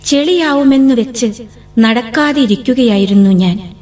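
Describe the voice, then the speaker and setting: An actress playing a young woman who writes poems and has a law degree, soft-spoken and reluctant. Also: a little high, unhurried. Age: young adult. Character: dreamy young woman, law graduate, poet. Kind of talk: radio drama acting. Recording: vintage radio broadcast with music.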